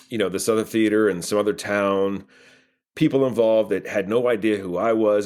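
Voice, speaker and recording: A man in his forties with a low voice answers as an interview guest. His talk is casual and reflective, and he comes across as modest and self-deprecating. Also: a little mellow. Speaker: podcast guest, playwright and actor.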